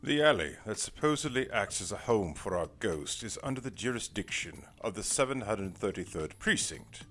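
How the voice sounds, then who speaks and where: A man's voice: fairly low pitch, very animated, moderate pace, few pauses, a bit bright, slightly rough, heavily acted. A man playing a formal, dry, weary older private investigator in an audio drama, speaking in precise, wordy sentences. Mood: measured, businesslike. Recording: music behind the voice.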